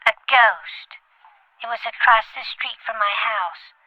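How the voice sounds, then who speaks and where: A woman's voice: fairly high pitch, slow, no room sound, highs cut off. A woman playing an earnest, chatty fourteen-year-old schoolgirl in an audio drama, with a plain, rambling teenage delivery. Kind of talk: scripted audio drama acting.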